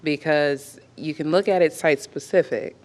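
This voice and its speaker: A commission member lays out a point step by step at a public meeting. The speech is deliberate. The pitch is middling. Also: a little mellow. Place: city council chamber.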